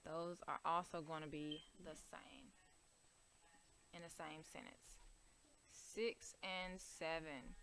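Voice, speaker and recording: A woman's voice: low-pitched, fairly bright tone, slight background noise. A young woman teacher explaining a writing worksheet to young children step by step, in a patient, careful way. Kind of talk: recorded writing lesson over a worksheet.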